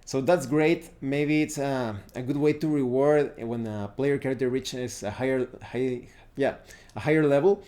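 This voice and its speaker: A man hosting a video in a casual, unscripted explanatory style, often halting and correcting himself as he goes. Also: medium pitch, dark tone. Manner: halting, self-correcting delivery. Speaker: YouTube host reviewing roleplaying games.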